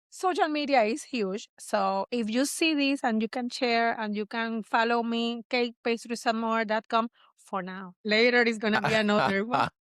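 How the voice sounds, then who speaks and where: Puerto Rican-accented woman, high voice. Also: fairly bright tone, dry recording. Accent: Puerto Rican Spanish. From Puerto Rico. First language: Spanish. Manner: earnest, repeats words and restarts often. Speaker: bakery owner. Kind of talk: interview answers.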